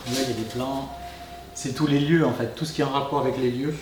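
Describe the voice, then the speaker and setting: A man's voice: mid-range voice, steady tone, fast, noisy background. A man co-presenting an unboxing video, commenting on the items in casual chat. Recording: roomy echo, music behind. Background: background music.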